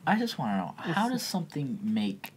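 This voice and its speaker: A man's voice: a little high, huge pitch swings, moderate pace, a little hiss or hum. A man co-hosting a podcast in casual banter, with a joking, teasing manner. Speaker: podcast co-host.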